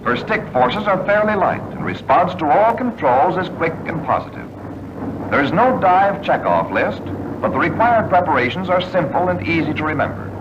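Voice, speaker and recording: A man reading scripted instructional narration for a vintage military training film, in a measured, instructive manner. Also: on the high side, very animated. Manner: measured, instructional delivery with clipped checklist phrasing. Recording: dull, noisy old film soundtrack, aircraft drone. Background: aircraft engine noise.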